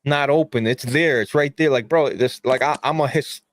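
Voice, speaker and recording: A man's voice: mid-range voice, normal speed, slight background noise. A man co-hosting a gaming podcast, telling stories in streetwise slang, with lots of "bro" and swearing. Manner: streetwise slang, says "bro", profane storytelling. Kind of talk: casual chat.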